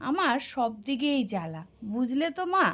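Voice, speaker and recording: A woman plays a young cartoon son with a very high voice. He is chatty and easily exasperated, pressing his point insistently. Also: sing-song, normal speed, a bit bright. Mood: aggrieved protest. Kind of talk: cartoon voice acting.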